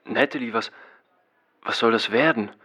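An actor playing a polite, reserved stranger who speaks formally and hesitantly in a drama. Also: male voice, mid-range voice, normal speed, bright, forceful tone, slightly rough. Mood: alarmed. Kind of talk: acted German drama dialogue.

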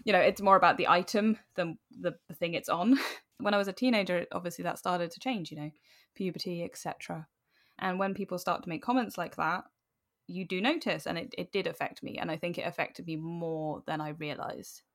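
A woman's voice: on the low side, brisk, a bit bright. A British woman in her late twenties chatting casually and candidly as an interview guest, in an informal way, often acting out remembered remarks. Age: late 20s. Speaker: podcast guest, cosplayer and writer.

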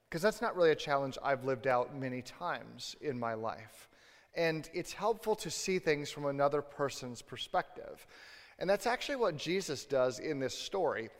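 Reflective male preacher in a reverberant hall. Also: medium pitch. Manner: reflective, conversational. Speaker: pastor. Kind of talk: sermon.

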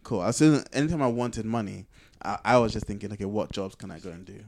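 A man with a fairly low voice talks casually as a podcast co-host. He explains himself reflectively, stopping and rephrasing as he works through his point. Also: fast, gravelly, darkish tone. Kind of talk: casual conversational podcast chat.

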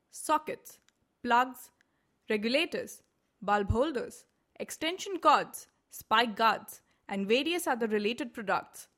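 Female corporate voice-over, Indian accent, somewhat high voice, measured scripted delivery with careful enunciation. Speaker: voice-over artist.

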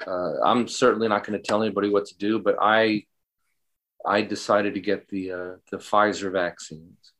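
Male interview guest, lowish voice, casual, measured, halting delivery. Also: flat delivery, a bit bright.

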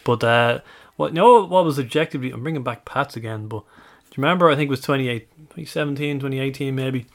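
A man's voice: mid-range voice, fast talker. A man with an Irish accent hosting a casual podcast, chatting easily, often laughing and correcting himself mid-sentence. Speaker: podcast host. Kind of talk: casual chat.

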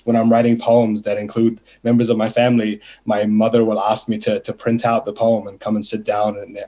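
A man poet on a festival panel, speaking reflectively and softly with many fillers. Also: on the low side. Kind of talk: panel discussion.

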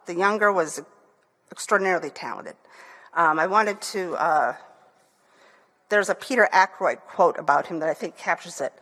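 A woman's voice: mid-range voice, fairly bright tone. A woman from Michigan with a Michigan accent, an author talking eagerly about her novels, with frequent fillers and restarts. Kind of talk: book talk. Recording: long hall reverb.

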